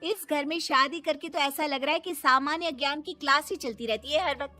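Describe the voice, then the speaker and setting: Woman as a high-pitched flustered young daughter-in-law. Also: fairly quick, sharp tone, soft background music. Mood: irritated. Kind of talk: cartoon story voice acting.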